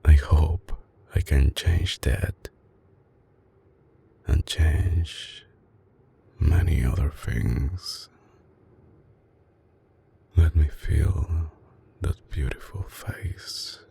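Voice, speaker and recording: A man playing a tender, possessive mafia boss with an Italian accent in an intimate, soft-spoken ASMR roleplay, his voice deep, breathy and husky. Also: slight background noise, exaggerated voice. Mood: tender, admiring. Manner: slow, hushed, coaxing, with dramatic pauses. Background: music.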